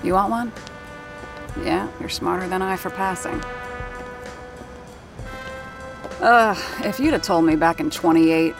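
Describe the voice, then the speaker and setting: Actress as a wry, low-voiced radio sound woman. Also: lightly acted, poor audio. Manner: wry, conversational asides to the listener. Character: wry, seasoned radio sound-effects woman, no-nonsense and fond. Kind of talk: 1930s-set audio drama narration and dialogue.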